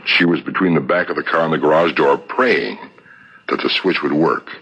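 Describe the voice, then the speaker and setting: An actor playing a shrewd, relentless police detective who methodically lays out accusations in a 1970s radio mystery, on an old broadcast recording. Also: male voice, rumbling low, normal speed, fairly bright tone, slightly rough, muffled recording, full character voice. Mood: accusing. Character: shrewd, relentless male police detective laying out a murder case.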